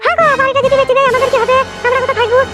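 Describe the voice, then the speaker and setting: Squeaky, shrill scolding cartoon daughter-in-law. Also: female voice, steady tone, racing. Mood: worried. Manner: complaining, scolding. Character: shrill, grumbling young daughter-in-law in a village household. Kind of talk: comedy cartoon dubbing. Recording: music playing behind the voice.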